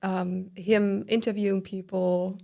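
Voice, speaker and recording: A woman in her thirties from southern Germany with a German accent, a podcast guest recounting her life in a warm, filler-heavy way. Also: mid-range voice, level tone, takes it slowly, creaky. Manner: warm, storytelling with many fillers. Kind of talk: casual interview conversation.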